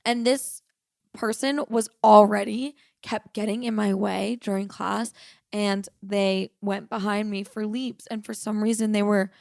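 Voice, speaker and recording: A young woman co-hosting a podcast, raspy today, talking in a casual, animated way as she tells stories. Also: medium pitch, no echo, clean studio sound. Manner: animated storytelling, quick and emphatic. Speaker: podcast co-host. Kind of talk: casual chat.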